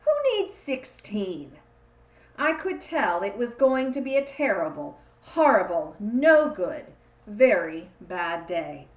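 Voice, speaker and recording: A young woman reads a children's book aloud in a bright, higher voice that rises and falls a lot. She takes her time and stretches out the repeated refrain for effect. The room around her gives a noticeable echo. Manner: expressive, drawn-out emphasis on repeated refrain. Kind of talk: read-aloud storytelling for kids.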